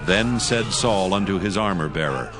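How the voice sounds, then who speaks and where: A man narrating scripture as a scripted audio drama, with solemn, measured storytelling. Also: sits low, expressive. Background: music bed.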